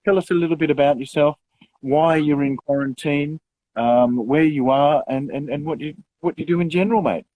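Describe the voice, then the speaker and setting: Husky co-host with rambling questions. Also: male voice, fairly high pitch, normal speed, warm tone, low-quality recording. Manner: rambling, filler-heavy questions. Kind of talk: casual chat.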